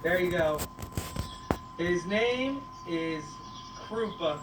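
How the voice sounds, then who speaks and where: Lively woman livestreaming from a noisy farm. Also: on the low side, extremely slow. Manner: playful, teasing, talks to viewers and animals. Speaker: livestream host on a goat farm. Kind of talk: casual livestream chat. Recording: echoey, noisy farm livestream, distant mic.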